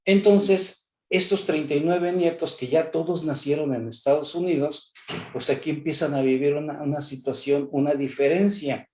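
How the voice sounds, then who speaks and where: Older Mexican man, mellow lecturing voice. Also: fairly high pitch, normal speed. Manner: animated, rhetorical questions, addresses an imagined lawmaker. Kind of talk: conference lecture on law. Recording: echoey room.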